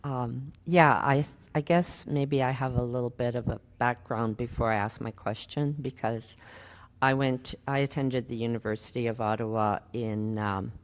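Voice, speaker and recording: Older woman from Alberta, very low voice, chatty audience member, hall echo. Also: steady tone, normal speed. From Alberta, Canada. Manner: chatty, laughs between points. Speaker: audience member asking a question. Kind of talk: casual Q&A at a public meeting. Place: community hall. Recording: long hall reverb.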